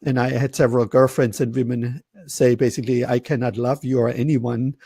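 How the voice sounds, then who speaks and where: A man from Germany hosts a webinar over a video call, drifting through rambling thoughts and breaking off mid-sentence. His voice is gravelly and husky, and the sound is close and dry. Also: mid-range voice, little pitch movement, fairly quick, warm tone, no echo. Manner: rambling, self-interrupting, chuckles. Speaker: host. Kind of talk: casual webinar chat.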